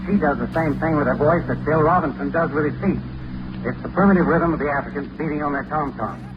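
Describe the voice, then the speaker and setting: A man's voice: a little high, fast, muffled recording, noisy background. A man talking in scripted old-time radio style, explaining things on a vintage broadcast recording.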